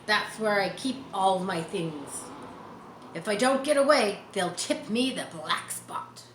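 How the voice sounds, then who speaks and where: A woman's voice: mid-range voice, lively intonation, slow pace, a bit bright. Woman voicing a growling, urgent old sea captain. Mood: urgent, fearful. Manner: urgent, foreboding. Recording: roomy, some background noise.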